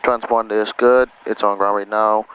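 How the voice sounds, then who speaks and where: Low-voiced man coaching over noisy cockpit intercom. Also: level tone, moderate pace, fairly bright tone, clean tone. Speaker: pilot giving flight instruction. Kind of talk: casual cockpit instruction and radio calls. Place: small plane cockpit in flight operations. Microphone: aviation headset.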